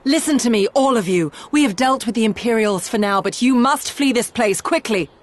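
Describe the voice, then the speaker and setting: A woman's voice: a little high, animated, fairly quick. A woman playing a grave, resolute warrior retainer in a video game, with formal, archaic diction. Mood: urgent, impassioned. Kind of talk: video game cutscene acting.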